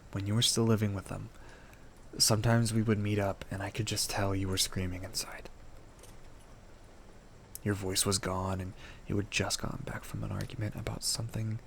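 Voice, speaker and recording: A man's voice: fairly low pitch, gravelly, fairly bright tone, slight background noise. A man playing an affectionate, playful and protective boyfriend in an intimate roleplay audio drama, talking in a tender, teasing, slightly stammering way. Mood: earnest, protective.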